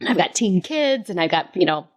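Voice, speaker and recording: A woman in her late forties talking casually as a coaching podcast host, telling stories in an animated, emphatic way and repeating phrases for stress. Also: lowish voice, normal speed. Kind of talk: casual conversational storytelling.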